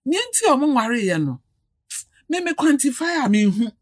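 Woman, radio guest, fairly high, very lively pitch, earnest, dry studio. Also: normal speed, dry recording. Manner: animated, earnest, laughs easily. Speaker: talk show guest. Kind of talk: casual radio chat.

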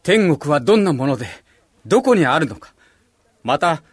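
A man's voice: on the high side, big pitch swings, normal speed, husky, no echo, studio quality. A man playing an earnest, devout disciple in a radio drama, speaking emphatically. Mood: reverent.

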